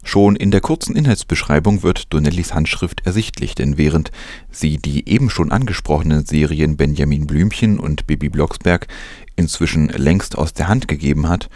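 A man's voice: extremely low pitch, moderate pace, a little mellow, no room sound, clean studio sound. A man presenting news, reading from a script in a narration style.

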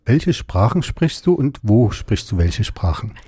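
A man interviewing a guest for local radio, asking short relaxed questions. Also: fairly low pitch, a little slow, breathy edge, no echo, studio quality.

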